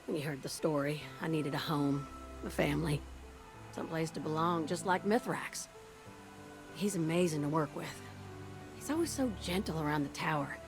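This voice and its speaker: An actress voicing a tough, warm-hearted fighter pilot in a video game, with a folksy, plain-spoken manner. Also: female voice, sits low, normal speed, over music. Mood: fond, chatty. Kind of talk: video game character dialogue.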